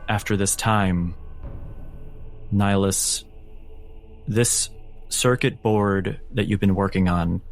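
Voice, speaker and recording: A man narrating a tabletop game as game master, with dramatic, suspenseful and deliberate phrasing. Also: lowish voice.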